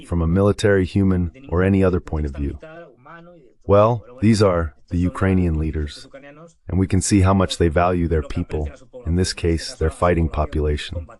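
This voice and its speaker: A man with a low-pitched voice works through military commentary as an analyst. He explains step by step and drops in conversational asides, in the manner of a translated voice-over. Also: a little mellow. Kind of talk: live war commentary read as an AI voice-over translation.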